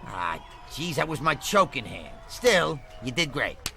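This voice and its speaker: A man playing a gruff, slangy, pep-talking eating-contest coach in a cartoon game. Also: medium pitch, sing-song, unhurried, bright, forceful tone, full character voice. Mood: pained, proud. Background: music.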